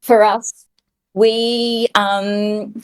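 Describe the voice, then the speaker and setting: A woman who grew up in Australia and New Zealand, a game developer answering interview questions in a warm, reflective way. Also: mid-range voice, flat delivery, very slow.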